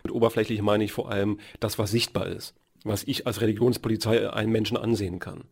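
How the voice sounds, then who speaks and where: A man hosting a radio interview and asking measured questions. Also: lowish voice, steady tone, gravelly, breathy edge.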